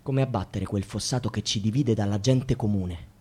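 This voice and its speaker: An actor playing a despairing student who prays in vain, in a declamatory, poetic radio drama monologue that moves between hushed and shouted. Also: male voice, on the low side, moderate pace, slightly rough. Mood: bitter despair. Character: despairing student trapped in a system, praying in vain.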